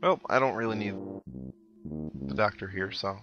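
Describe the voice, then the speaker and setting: A man's voice: lowish voice, normal speed. A man doing casual let's-play commentary with wry, sarcastic asides, sometimes reading game text aloud. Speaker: gaming show host, player. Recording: dull lo-fi mic, game music behind. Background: synthesized video game music.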